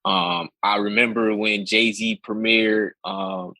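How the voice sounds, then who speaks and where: A man from Connecticut, a rapper guest in an interview, speaking in a relaxed, easygoing way. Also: lowish voice, slow, dry recording. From Connecticut, USA. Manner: relaxed, easygoing, speaks plainly about his faith. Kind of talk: casual interview chat.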